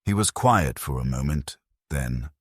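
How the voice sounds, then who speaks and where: An extremely low-voiced man delivers a scripted, somber first-person story. His tone is resigned and rough-edged, moving widely in pitch. The sound is dry and close. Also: expressive, a little slow, dry recording. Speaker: narrator of a first-person science fiction story. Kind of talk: scripted dramatic narration.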